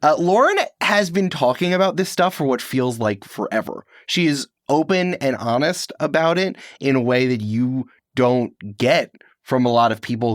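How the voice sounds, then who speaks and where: A man's voice: mid-range voice, no echo. Playful man, dry studio sound. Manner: playful, joking. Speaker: podcast co-host. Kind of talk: casual banter and commentary.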